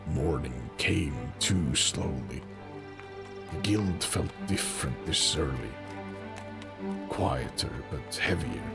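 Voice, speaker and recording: A man narrating a fantasy audio drama in a slow, deliberate, brooding way. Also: a bass voice, vocal fry. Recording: music under the narration. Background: music.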